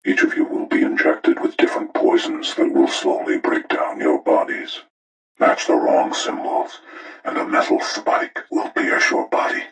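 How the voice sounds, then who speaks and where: An actor playing a cold, menacing older male serial killer who delivers deliberate, ominous, moralizing monologues in a breathy, gravelly rasp for a horror video game. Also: a bass voice, fairly bright tone, echoey room, exaggerated voice.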